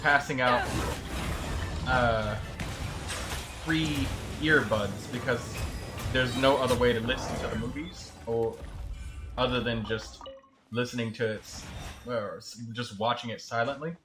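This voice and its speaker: A man chatting casually as a game streamer, telling a story in a rambling, halting way. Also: mid-range voice, steady tone, music in the background. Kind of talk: casual livestream chat.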